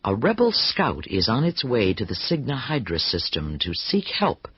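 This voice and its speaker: A man's voice: mid-range voice, wildly swooping pitch, normal speed, husky, no echo. A man narrating a scripted radio play in a dramatic style. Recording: dull lo-fi radio play, music.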